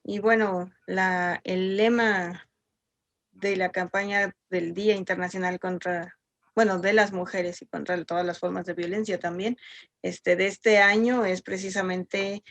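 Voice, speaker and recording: A woman hosts an interview in a mid-range voice, measured and affirming. Her sound is dry and close, without room echo. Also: medium pitch, fairly even intonation, fairly bright tone. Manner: measured, affirming, uses filler "este". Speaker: host and interviewer. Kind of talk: interview conversation.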